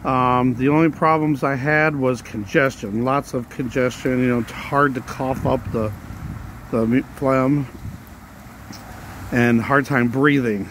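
Hoarse, breathless man vlogging on a phone mid-walk, mid pitch, faint outdoor hum behind. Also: steady tone, measured pace, fairly bright tone. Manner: rambling, plain-spoken, coughing and sighing. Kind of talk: casual walking vlog. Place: residential street outdoors. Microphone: handheld phone while walking.

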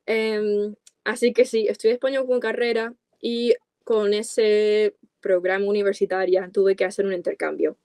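A woman's voice: mid-range voice, steady tone, moderate pace, few pauses, mellow, dry recording. Young American woman, dry close sound. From United States, California. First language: English. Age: 20s. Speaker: podcast guest, content creator. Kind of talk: casual chat in an interview. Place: small dark room with coloured lights, video call.

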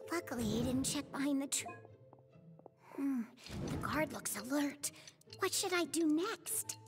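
A woman voicing a young girl goddess, small, gentle and clever, who talks softly and hushed, thinking aloud. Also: high-pitched, lively intonation, unhurried, over music. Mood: hushed worried.

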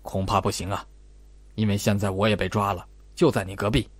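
A man voicing a cold, terse, scheming policeman in an audiobook, speaking low and matter-of-fact. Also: steady tone, brisk, no echo, natural voice. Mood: weary, low.

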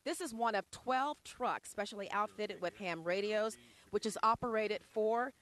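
A woman's voice: mid-range voice, normal speed, a bit bright. A woman reporting live for TV news, chatting upbeat with the anchors, holding a handheld mic at a home and in a parked truck. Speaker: field reporter. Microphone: handheld station mic.

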